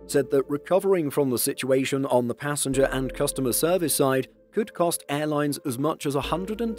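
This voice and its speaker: Male narrator for news explainer video: mid-pitched, measured, clear articulation, music bed underneath. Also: a little mellow.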